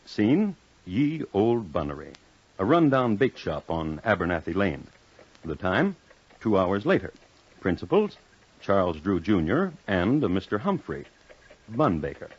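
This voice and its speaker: Low-voiced, droll male radio narrator. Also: big pitch swings, measured pace, husky. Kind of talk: scripted old-time radio crime narration. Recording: muffled vintage radio recording. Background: dramatic music.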